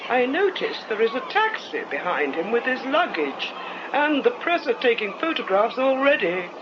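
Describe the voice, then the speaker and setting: A woman's voice: on the high side, big pitch swings, moderate pace. An actress playing a fussy, prim, talkative woman aboard a cruise ship in a radio comedy drama. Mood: flustered, disapproving. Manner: fussy, emphatic, proper. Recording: muffled, noisy radio broadcast copy.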